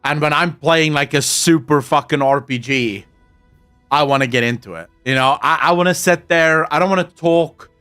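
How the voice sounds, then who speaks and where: A young South African man, a native Afrikaans speaker, talks in English with an Afrikaans accent while he answers his live-stream chat. He rants, jokes and swears freely. His voice sits a little high, and soft music plays under him. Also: moderate pace, clean tone. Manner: profane, ranting and joking.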